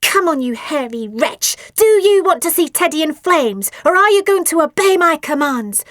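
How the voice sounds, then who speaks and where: A woman voicing a bratty, cruel girl who snarls shrill threats and orders. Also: takes it slowly, no echo, studio quality. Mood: bossy.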